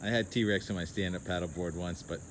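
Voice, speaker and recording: A man with a fairly low voice narrates a casual outdoor vlog. He muses aloud in short, enthusiastic exclamations about what he sees. Clear ambient noise from the open air runs behind his phone recording. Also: fairly even intonation, brisk. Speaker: weather vlogger, videographer. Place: outdoors, beach and waterside. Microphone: handheld phone.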